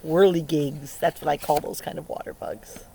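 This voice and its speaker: A woman reading a picture book to young children in a playful, expressive way, pausing to ask them questions, recorded outdoors. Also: low voice, creaky. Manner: playful, expressive, asks children questions, acts out sound words. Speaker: early learning teacher reading a picture book aloud. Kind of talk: interactive read-aloud for young children. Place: outdoors by a lake. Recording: steady outdoor ambient noise by water. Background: outdoor lakeside ambience.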